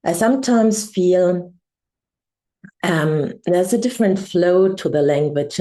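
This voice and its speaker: A German woman with a German accent, a coach, introducing herself in a reflective, hesitant vlog with many pauses and ums. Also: lowish voice, mellow. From Bavaria, Germany. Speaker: embodiment coach.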